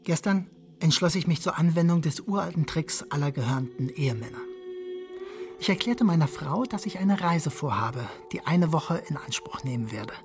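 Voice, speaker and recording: An actor playing a jealous, childish husband in a radio drama, confessing his schemes in a measured first-person monologue. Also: fairly high pitch, lively intonation. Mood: furtive, confessional.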